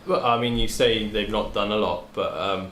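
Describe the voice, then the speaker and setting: A man playing a tabletop game, speaking casually in character in a halting, tentative way. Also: lowish voice, steady tone, fairly bright tone. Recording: echoey room, faint noise.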